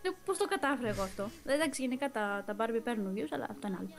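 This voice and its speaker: A woman's voice: a little high, animated, gravelly. A woman, chatting loosely and a little hesitantly as she answers in a casual interview. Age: 20s. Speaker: interviewee, voice actress and singer. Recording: loud music behind the voice. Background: music.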